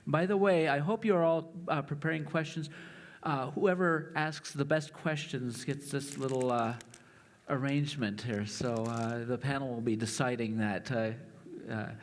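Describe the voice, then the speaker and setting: A man moderating a conference panel, relaxed and lightly joking as he sets up questions. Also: on the high side, big-room sound.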